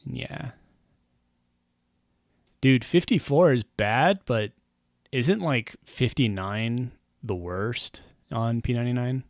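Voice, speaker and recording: Gravelly, dry-sounding man rambling on stream. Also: medium pitch, slow, pauses often, no room sound. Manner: rambling, wry and self-mocking, often trails off with um and uh. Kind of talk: casual chat on a game stream.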